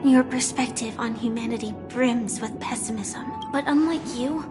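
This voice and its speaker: An actress playing a gentle but resolute young woman in a video game, earnest and deliberate. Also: a little high, natural voice. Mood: earnest, defiant. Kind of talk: scripted game voice acting. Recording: loud music behind. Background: music.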